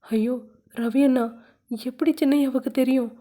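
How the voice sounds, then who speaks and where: A woman voicing Kayal, a frightened young mother who pleads in a high, shaky, tearful voice. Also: steady tone, moderate pace, mellow. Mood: fearful pleading.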